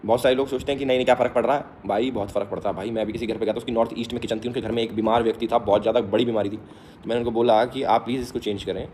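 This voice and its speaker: A fast-talking man, joking and laughing as he chats casually on camera. His voice sits at a middle pitch, and a faint hum of ambience runs under the recording. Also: fairly even intonation, darkish tone. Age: 20s. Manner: joking, laughs often, addresses viewers as brothers. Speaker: vlogger. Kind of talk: casual family vlog chat.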